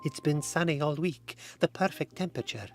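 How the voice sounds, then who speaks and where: A man voicing a sultry, scheming woman who talks in a smooth, coaxing, unhurried way. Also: fairly high pitch, over music, pitched above the performer's own voice, natural voice.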